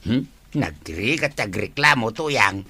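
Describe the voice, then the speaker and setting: A man's voice: medium pitch, expressive, measured pace, pushed and bright, husky, heavily acted. A man playing a concerned villager fed up with his captain in an Ilocano comedy drama, with an Ilocano accent. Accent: Filipino, Ilocano. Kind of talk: acted village comedy dialogue.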